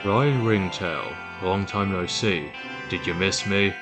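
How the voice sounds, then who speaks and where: A man's voice: sits low, slow, over music. A man playing a gloating, vicious animal billionaire villain in an audio drama, pompous and menacing.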